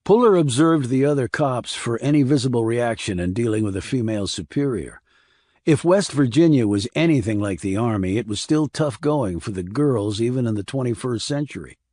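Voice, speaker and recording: Measured male audiobook narrator, very dry sound. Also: medium pitch, lively intonation, warm tone, dry recording. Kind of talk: scripted crime thriller narration.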